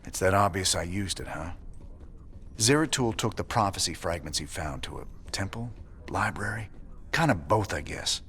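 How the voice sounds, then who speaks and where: A man's voice: low voice, expressive, normal speed, fairly bright tone, slight background noise. A man voicing a weary, plainspoken space marshal with laid-back, drawling talk. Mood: worried.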